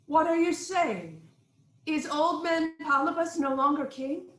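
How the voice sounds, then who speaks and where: An actress playing a regal queen, an anxious but firmly reassuring wife, in a formal, deliberate reading of Greek tragedy. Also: high-pitched, reverberant. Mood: startled, urgent.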